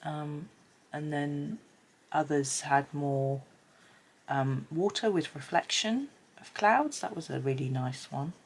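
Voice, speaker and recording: A low-pitched middle-aged British woman talks while colouring, her voice a little stuffy from a blocked nose. She rambles in a chatty way, with plenty of ums and little asides. Her pencil scratches faintly behind her. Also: takes it slowly, breathy edge. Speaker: colouring channel host. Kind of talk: casual chat while colouring.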